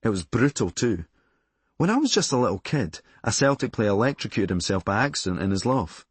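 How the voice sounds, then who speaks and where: A Scottish man from Glasgow narrates a memoir in a husky voice. His delivery is deadpan and drily comic, yet the pitch moves in lively swings. The sound is dry and free of room echo. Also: fairly quick. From Glasgow, Scotland. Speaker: audiobook narrator and author.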